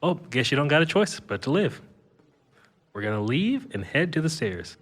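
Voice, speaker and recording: A man's voice: on the high side, sing-song, normal speed, a bit bright. A man playing a cocky, wisecracking fugitive with a mechanical tail in an audio drama, slangy and flippant. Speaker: lead character and narrator. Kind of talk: first-person audio drama narration and dialogue. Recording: long reverb, clear background noise.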